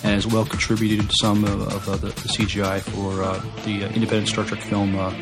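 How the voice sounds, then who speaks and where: A man co-hosting a podcast, chatting casually with halting phrasing. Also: lowish voice, monotone, over music. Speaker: podcast co-host.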